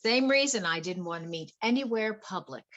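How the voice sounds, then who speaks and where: A woman's voice: mid-range voice, expressive, fairly quick, fairly bright tone, dull audio, close to an everyday voice. A woman playing a wealthy, anxious wife in a play reading, earnest, polite and flustered. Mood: nervous, apologetic. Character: wealthy, anxious wife confiding fears about her husband.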